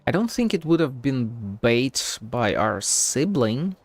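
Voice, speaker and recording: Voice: mid-range voice, very animated, slow pace. Streamer commentary: informal, slangy, musing aloud, faint game music underneath. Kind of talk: casual playthrough commentary.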